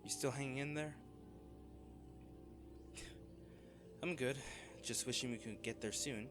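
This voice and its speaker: A man's voice: mid-range voice, brisk, music in the background, lightly acted. A man playing a cocky, wisecracking treasure hunter in an audio roleplay, with a wry, flippant, conversational delivery. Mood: weary, wry. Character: cocky, wisecracking male treasure hunter trekking through jungle ruins. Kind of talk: dramatic audio roleplay addressed to a companion.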